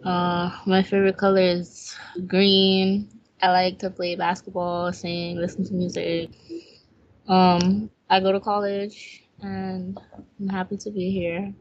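A woman's voice: on the low side, completely flat delivery, takes it slowly, muffled recording, slight background noise. An eighteen-year-old woman from Dominica introducing herself casually as a youth program participant.

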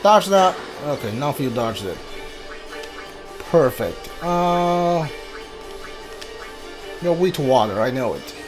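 A man's voice: fairly high pitch, big pitch swings, slow pace, long pauses, over music. A man doing live gameplay commentary as a streamer, thinking aloud as he plays. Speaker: game streamer.

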